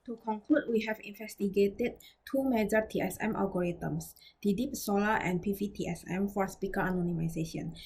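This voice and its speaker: Woman reading out a research talk, kinda husky voice, formal and careful, a bit halting. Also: mid-range voice, darkish tone. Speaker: researcher presenting a paper. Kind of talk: scripted academic presentation.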